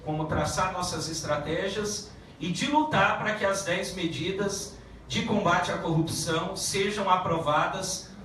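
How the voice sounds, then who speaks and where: High-pitched man, deputy addressing a meeting, halting with fillers, distant, echoey room, faint background noise. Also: a bit bright. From Paraná, Brazil. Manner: halting, many restarts and fillers. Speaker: federal deputy. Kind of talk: public speech at a meeting.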